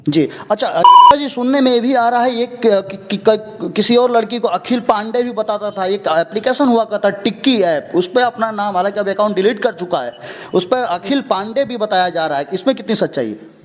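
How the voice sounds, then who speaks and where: High-pitched man on a muffled phone line. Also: expressive. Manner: halting, repeats words as he forms questions. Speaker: interviewer. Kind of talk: phone interview questioning. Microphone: phone call. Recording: dull lo-fi phone recording.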